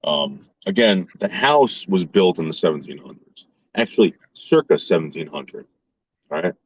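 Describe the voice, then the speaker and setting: A man's voice: low voice, expressive, normal speed, slightly rough, no echo, muffled recording. A man in his forties hosting a casual chat while touring a historic site, joking offhand and trading mock insults.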